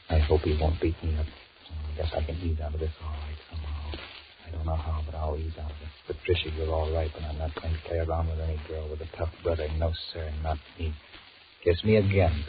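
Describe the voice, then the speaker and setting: An actor with an American accent playing a cowardly, irritable cheating husband in a 1940s radio drama, switching between muttered thoughts and halting sweet talk. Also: very deep voice. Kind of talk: 1940s radio horror acting with inner monologue. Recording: muffled, noisy 1940s radio recording.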